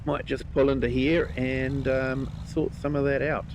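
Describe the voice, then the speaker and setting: A man with a New Zealand accent talks over a live game stream at an unhurried pace. He sounds relaxed and friendly, with plenty of ums, and his voice is a touch croaky from a recent cold. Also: mid-range voice, a little hiss or hum. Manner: relaxed, chatty, greets viewers by name, many ums. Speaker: livestream host. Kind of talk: live gameplay commentary.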